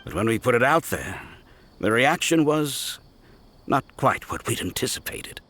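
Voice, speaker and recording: A man playing a level-headed, dry toy shop worker who explains things in a measured way in a video game. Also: medium pitch, expressive, a bit bright, slight background noise. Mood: explaining, resigned.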